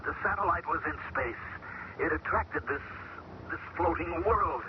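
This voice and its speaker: An actor playing a man taken over by an alien mind, who talks calmly and measures his words in an old radio drama. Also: fairly high pitch, close to an everyday voice. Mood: eerie. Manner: calm, measured, searching for words. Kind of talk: 1970s radio drama acting. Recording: dull old radio broadcast with loud hiss.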